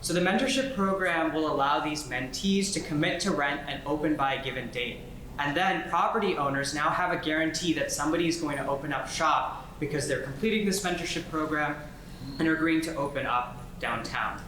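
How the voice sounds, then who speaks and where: A young man with a fairly high voice presents as a student. The room is very reverberant, with clear background noise. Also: fairly quick, pushed and bright. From North Carolina, United States. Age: young adult. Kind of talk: group project presentation.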